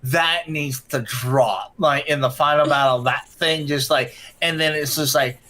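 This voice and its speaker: Higher-voiced man in rambling casual chat. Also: a little slow, bright, forceful tone. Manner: rambling, jokey.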